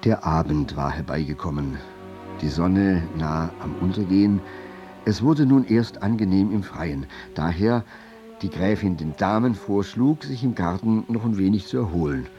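Urbane, wry narrator: low voice, measured literary telling, slightly dull sound, music behind. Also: male voice, expressive, dark tone. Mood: composed, wry. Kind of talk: scripted literary narration in radio drama.

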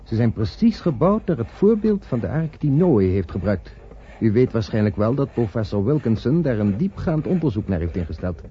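An actor playing a matter-of-fact ark builder in a radio play, giving an informative sales patter. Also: male voice, mid-range voice, sing-song, normal speed, natural voice. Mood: businesslike. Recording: dull old recording, faint noise.

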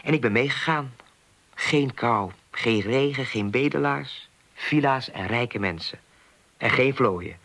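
A man's voice: mid-range voice, slow pace, fairly bright tone. An actor playing an earnest, naive, lonely man in a naturalistic radio play, talking in a hesitant, rambling, plain-spoken way. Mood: earnest, wistful. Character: earnest, naive, lonely man worried about a missing woman.

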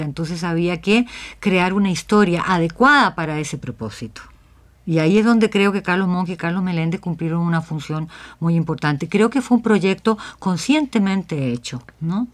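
Low-voiced woman, explanatory. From Chile. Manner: explanatory, punctuated with frequent check-in tags. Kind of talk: reflective discussion in a radio interview.

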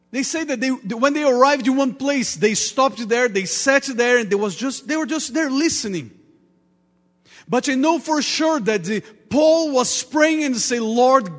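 High-voiced Portuguese-accented male preacher. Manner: earnest, halting non-native English with repetitions. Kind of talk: sermon. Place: large reverberant church room. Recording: reverberant hall with long echo.

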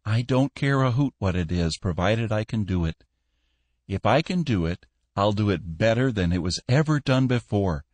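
A man's voice: on the low side, lively intonation, moderate pace, darkish tone, dry recording. A man voicing a cheerful young veteran. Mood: earnest. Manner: earnest and upbeat. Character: cheerful young male war veteran seeking work.

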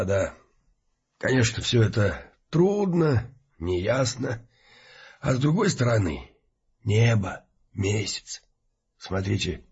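A man playing a soft-spoken, insinuating tea lover in an audio drama, coaxing in drawled, halting phrases. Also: on the low side, gravelly, slightly rough, highs cut off, full character voice. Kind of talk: scripted audio drama dialogue.

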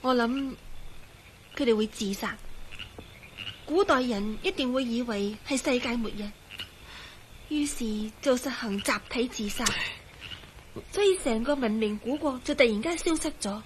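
An actress playing a composed, brooding, resigned young woman in a Cantonese radio drama, with a Hong Kong accent and measured delivery. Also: fairly high pitch, slight background noise, close to an everyday voice. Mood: grim, composed. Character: eerily composed, resigned young woman turned invisible skeleton.

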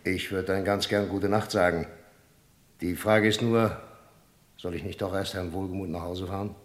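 Actor as practical helper, echoey room. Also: male voice, low-pitched, steady tone, normal speed, close to an everyday voice. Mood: dutiful. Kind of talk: German crime radio play acting. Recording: roomy echo, faint background noise.

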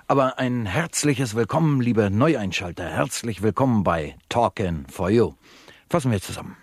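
A man's voice: lowish voice, expressive, moderate pace, slightly rough, no room sound, clean studio sound. A man playing a slick, unflappable talk-show host in a satirical radio play, addressing guests and listeners with formal presenter patter. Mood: suave, matter-of-fact.

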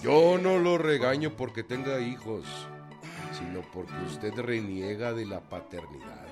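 An actor playing a stern, folksy, mocking revolutionary general with a Mexican accent in a radio drama. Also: male voice, medium pitch, lively intonation, unhurried. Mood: stern, confronting. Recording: loud music and crowd behind.

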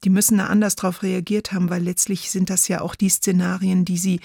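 A woman hosting a podcast, musing aloud in conversation and choosing her words deliberately. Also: fairly low pitch, flat delivery, vocal fry, mellow, no room sound, studio quality. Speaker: podcast host. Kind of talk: conversational radio play discussion.

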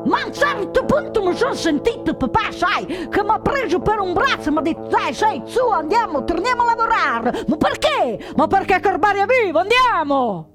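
High, excitable young villager. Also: female voice, normal speed, hardly any pauses, over music. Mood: agitated, eager. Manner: breathless, chatty, repetitive storytelling. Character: excitable, naive young villager gossiping about partisans. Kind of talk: theatrical folk storytelling for radio.

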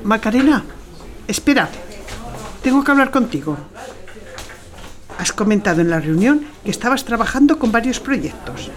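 An actress playing a brisk, bossy woman executive producer in radio theatre, speaking with cutting, emphatic authority. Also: on the high side, very animated. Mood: impatient, domineering.

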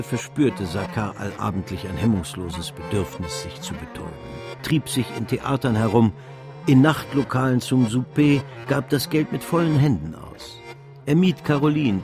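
Man, radio drama narrator, literary, measured, dramatic, mid-pitched, music behind. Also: lively intonation.

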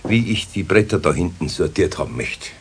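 An actor playing a good-natured older master carpenter with a Bavarian accent, homely and wryly strict, in a children's radio play. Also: male voice, low-pitched, expressive, slight background noise. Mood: instructing. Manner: homely, unhurried, wry. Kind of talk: 1970s German children's radio play acting.

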